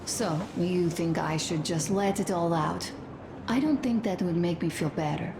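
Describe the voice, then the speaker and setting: Sad gentle young wife in a game, talks slow n confiding, echoey w/ background noise. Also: female voice, lowish voice. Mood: troubled. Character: tender, grieving young soldier's wife. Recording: echoey room, noisy ambience.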